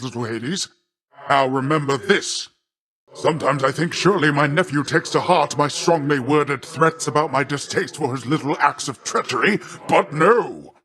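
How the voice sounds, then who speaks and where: Casting: a grandiose sea god uncle in a video game, fairly high male voice, hearty, boastful, mock-formal and quick to take offence. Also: fairly bright tone. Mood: offended, menacing. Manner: pompous, hearty, mock-formal theatrical delivery. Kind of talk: theatrical video game voice acting.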